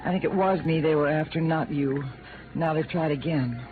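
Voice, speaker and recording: A woman playing a scared, defensive, sharp-tempered widow in dramatic 1950s radio style. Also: deep voice, moderate pace, over music, natural voice. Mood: uneasy, subdued. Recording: tinny noisy vintage radio recording.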